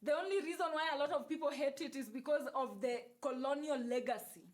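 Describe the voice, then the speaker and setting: A woman's voice: high-pitched, fairly quick. A Kenyan woman with a Kenyan accent, hosting a livestream, talking casually and emphatically about energy to her viewers, repeating key phrases. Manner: repetitive, emphatic, switches into Swahili and Luo phrases. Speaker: livestream host. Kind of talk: casual spiritual talk to live viewers.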